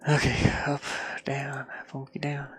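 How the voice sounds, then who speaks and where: A tired man thinking aloud casually as he works on a game, muttering to himself and grumbling at the software into a headset mic. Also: mid-range voice, completely flat delivery, slow, slightly rough, dry recording. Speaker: indie game developer working on camera. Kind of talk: casual thinking-aloud screen-work stream.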